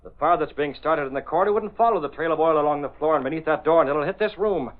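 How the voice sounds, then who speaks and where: Man as masked vigilante, stern and commanding, clipped delivery, higher-set male voice, old radio serial, thin narrow-band recording. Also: very fast, lightly acted. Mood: cold, threatening. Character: masked male vigilante posing as menacing avenger, doubling as publisher. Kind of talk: 1930s-40s radio drama acting.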